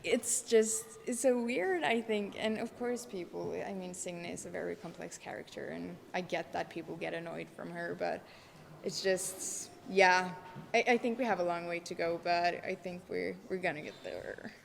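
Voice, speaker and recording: A woman's voice: fairly low pitch, moderate pace, vocal fry, a little hiss or hum. A woman from Norway, an actress, talking animatedly with fillers and quoted reactions.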